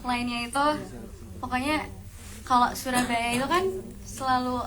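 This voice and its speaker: Chatty high-voiced woman over crowd noise. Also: flat delivery, moderate pace, poor audio. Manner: chatty, mixes in English phrases. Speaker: actress at a film premiere press event. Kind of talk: casual press Q&A answers. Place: premiere event hall with audience.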